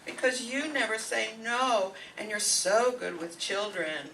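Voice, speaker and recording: A woman playing a brisk, managing housewife in an old-time radio sitcom, persuasive and take-charge. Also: medium pitch, animated, vocal fry, a bit bright, recorded from a distance, a little hiss or hum, rough recording. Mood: coaxing.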